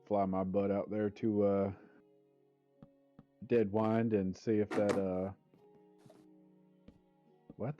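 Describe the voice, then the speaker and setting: A man with a low voice hosts a gaming stream, talking in a laid-back, matter-of-fact way. The sound is dull and lo-fi, with faint music underneath. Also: level tone, slow pace, pauses often, warm tone. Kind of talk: casual gamer chat.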